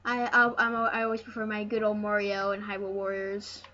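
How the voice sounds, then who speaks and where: A high-voiced speaker giving casual let's play commentary as host of a retro gaming series, rambling and often restarting phrases. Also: flat delivery, brisk, bright, forceful tone. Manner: rambling, repeats and corrects phrases. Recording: muffled, noisy home recording.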